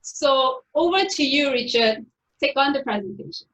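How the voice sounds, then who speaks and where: A woman's voice: sits high, expressive, moderate pace, fairly bright tone, low-quality recording. A woman hosting an online wine tasting and introducing it in a chatty way, with many fillers. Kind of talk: friendly event introduction.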